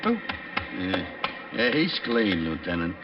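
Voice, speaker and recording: An American actor playing a slow-witted, complaining police sergeant as comic relief in an old radio drama. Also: male voice, sits low, very animated, noisy background, exaggerated voice. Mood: grudging. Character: dim, whiny, easily wounded male police sergeant. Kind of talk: comic 1940s-50s radio drama acting. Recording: muffled distant old radio recording.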